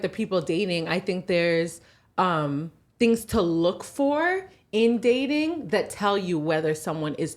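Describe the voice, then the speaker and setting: A woman of thirty, co-hosting a casual podcast chat, animated and quick to laugh. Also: fairly low pitch. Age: 30s. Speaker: podcast co-host.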